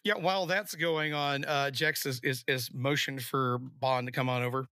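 Bright-voiced man playing a tabletop game. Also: mid-range voice, steady tone, normal speed, dry recording, studio quality. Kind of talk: casual improvised role-play narration.

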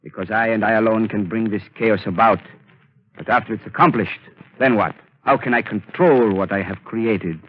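A slow, menacing villain who means to rule the world, his low voice carried on a muffled old radio broadcast. He speaks in a measured, commanding way, as in a 1930s radio play. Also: breathy edge, dry recording. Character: scheming male mastermind bent on ruling the world. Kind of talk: 1930s radio drama acting.

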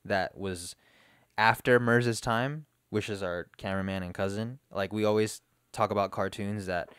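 A young man in casual podcast chat, his lowish voice smooth and clean. He talks loosely, swears freely and laughs often. The sound is dry and close, recorded on a boom mic in a living room. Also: steady tone, moderate pace, fairly bright tone, dry recording. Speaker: podcast co-host. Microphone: boom mic on arm, headphones.

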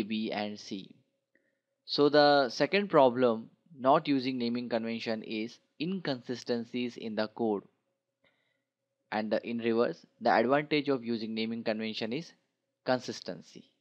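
A man narrating a slideshow tutorial as a programming instructor. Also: mid-range voice. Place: voice-over for a screen recording. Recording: dull lo-fi voice recording.

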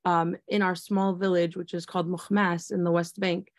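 A woman's voice: fairly low pitch, level tone, moderate pace, no room sound, clean studio sound. A woman teacher on a panel, speaking earnestly and reflectively, with many fillers and restarts. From United States. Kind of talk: panel discussion answer.

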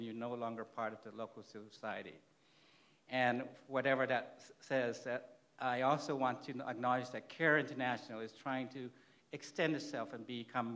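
A man's voice: mid-range voice, a bit bright. Earnest Thai man giving a speech. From Thailand. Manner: earnest, halting with restarts and repeats. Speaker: executive director of a charity. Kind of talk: conference plenary speech. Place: large conference hall.

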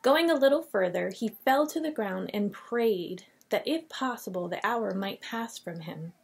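A woman's voice: medium pitch, lively intonation, measured pace, gravelly, breathy edge. A woman in her forties teaching a children's Bible lesson on webcam, telling the story expressively and reading scripture aloud. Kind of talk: Bible lesson for kids on video. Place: small painted classroom office, indoors. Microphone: webcam at desk.

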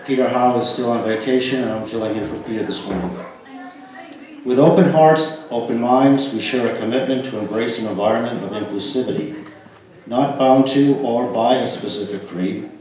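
An older man reading a formal, measured welcome to a congregation as a church trustee. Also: medium pitch, darkish tone. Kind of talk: scripted service welcome and announcements. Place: small church sanctuary, indoors. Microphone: pulpit microphone, picked up by distant camera. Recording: echoey church hall, distant mic, faint noise.

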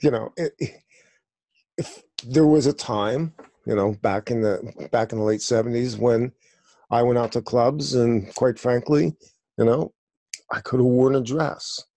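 A man's voice: mid-range voice, steady tone, measured pace, warm tone, dry recording. A man in his sixties talking in a rambling, digressive way, full of fillers, on a discussion show. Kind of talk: conversational discussion.

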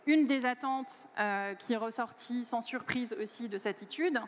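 A woman's voice: a little high, measured pace, a little hiss or hum. A woman in her forties giving a conference keynote on a large stage through a headset mic, speaking fluently but with many hesitant fillers. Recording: long hall reverb.

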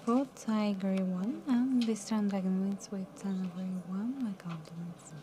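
A young woman dealing cards at a live casino table, calling results in a soft, mumbly voice that sinks toward a whisper. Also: medium pitch, fairly even intonation, unhurried, slight background noise. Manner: soft, mumbly, drops to near whisper at round ends. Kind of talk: calling card game rounds.

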